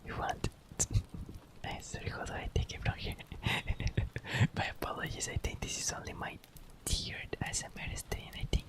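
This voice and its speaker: Nervous giggly whispering streamer. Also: measured pace. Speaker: ASMR streamer. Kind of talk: ASMR roleplay.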